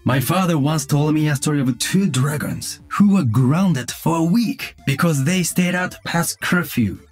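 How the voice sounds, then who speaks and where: An actor playing a calm, earnest cyborg ninja with dry humour, speaking measured, solemn video game lines. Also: male voice, fairly high pitch, slightly rough, soft background music.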